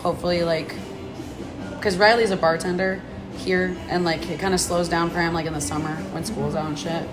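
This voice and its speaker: A young woman with a husky, rough voice, talking casually and slangily in a bar about her band, often laughing. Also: on the low side, fairly even intonation, brisk, poor audio. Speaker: band member. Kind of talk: casual chat.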